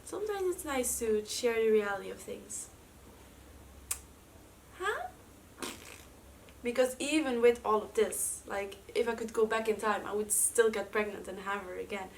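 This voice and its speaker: A woman's voice: on the high side, measured pace, gravelly, pauses often. A young woman with a Dutch accent, chatting casually at home in a vlog, with lots of fillers. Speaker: vlogger. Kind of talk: casual chat. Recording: slightly distant mic with faint room noise.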